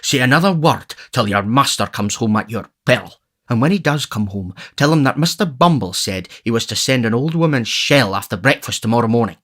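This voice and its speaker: A man's voice: mid-range voice, animated, normal speed, sharp tone. A man voicing a pompous, domineering parish beadle, stern and emphatic, as an audiobook character. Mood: indignant, stern.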